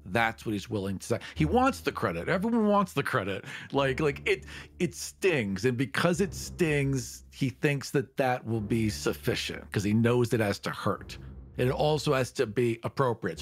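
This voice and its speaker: Mid-pitched man playing a tabletop game. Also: slight background noise. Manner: informal, thinking aloud. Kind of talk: casual, improvised game table chat. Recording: music behind the voice. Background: background music.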